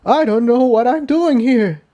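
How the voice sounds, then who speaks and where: Man acting an anguished young unicorn stallion, high and agitated. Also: unhurried, mellow, dull audio. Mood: tormented. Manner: agitated, exclaiming, argumentative. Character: anguished young male unicorn pony, angry and tormented.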